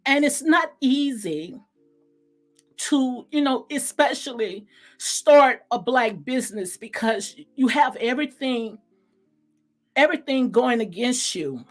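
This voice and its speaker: A woman with a slightly hoarse voice, giving casual, rambling commentary as the host of a recap show in a conversational, heartfelt, repetitive way. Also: a little high, expressive, takes it slowly, soft background music.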